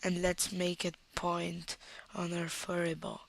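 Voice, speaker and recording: A young Italian woman with an Italian accent and a cold, talking through a screen-recorded software tutorial and thinking aloud as she clicks, at a desktop mic. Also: lowish voice, monotone, slow, vocal fry. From Italy. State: has a cold.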